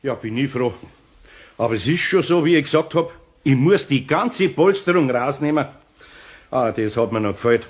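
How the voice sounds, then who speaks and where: A man playing a good-natured, grumbling older master carpenter with a husky voice and a Bavarian accent, muttering and flustered, in a children's radio play. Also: medium pitch, lively intonation, moderate pace. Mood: relieved.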